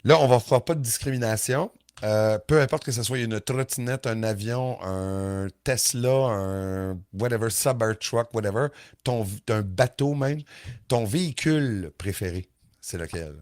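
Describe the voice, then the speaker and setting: A man's voice: medium pitch, unhurried, a little mellow, no echo, clean studio sound. A man from Montreal with a Quebec French accent, hosting a podcast in casual interview chat. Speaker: podcast host.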